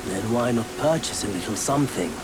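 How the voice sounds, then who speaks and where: An actor playing a wary, world-weary wandering merchant in a video game, giving unhurried sales patter. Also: male voice, lowish voice, expressive, lightly acted. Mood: wary, businesslike. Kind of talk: video game character acting. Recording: loud rain-like ambient noise.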